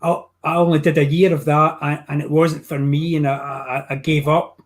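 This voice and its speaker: Older glasgow guy on a video call from his art studio, bit high voice, rambly, lots of restarts. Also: level tone, moderate pace. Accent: Glaswegian. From Scotland. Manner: chatty, rambling with many restarts. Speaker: painting teacher. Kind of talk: casual video call chat.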